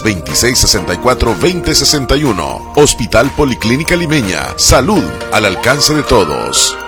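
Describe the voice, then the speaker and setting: A man reads a radio commercial, his pitch rising and falling in wide, animated swings. Clear music plays behind his voice throughout. His delivery is polished and emphatic, in the manner of a local station announcer. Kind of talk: scripted commercial read.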